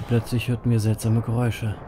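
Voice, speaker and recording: A man playing a brooding reaper who narrates his horror story in a measured, somber way. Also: medium pitch, monotone, noisy background, close to an everyday voice. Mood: somber tension. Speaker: first-person narrator. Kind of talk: scripted dramatic narration, German audio drama.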